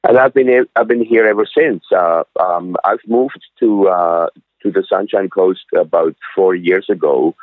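A man in his sixties from Switzerland tells his story slowly, stopping and restarting often with plenty of ums. His voice sits low and comes through a narrow, tinny phone line with no room sound around it. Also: dry recording. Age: 60s. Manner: halting, many fillers and restarts. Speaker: guest, vegan restaurant owner. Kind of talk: casual interview storytelling.

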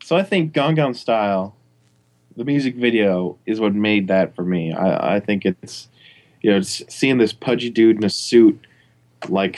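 A man's voice: lowish voice, darkish tone. A man in casual conversation, easygoing and thinking aloud as he talks. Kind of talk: casual chat.

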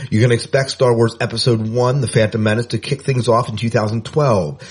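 A man's voice: medium pitch, slightly rough. A man co-hosting a podcast, chatting casually and reading news items in an informal, jokey way. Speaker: podcast co-host.

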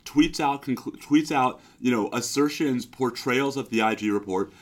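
A man co-hosting a legal podcast, hoarse and sick, talking in a weary, halting way with many restarts. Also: medium pitch. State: hoarse from illness. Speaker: podcast co-host. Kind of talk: casual legal podcast chat.

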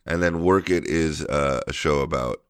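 A man co-hosting a casual comedy podcast, joking and laughing through quick back-and-forth chat. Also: very deep voice, clear, resonant tone, dry recording, clean studio sound. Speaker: podcast co-host.

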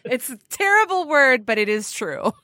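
A woman's voice: high-pitched, big pitch swings, moderate pace, pushed and bright. A woman hosting a podcast in a chatty, informal and playful way. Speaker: podcast host. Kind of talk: casual chat.

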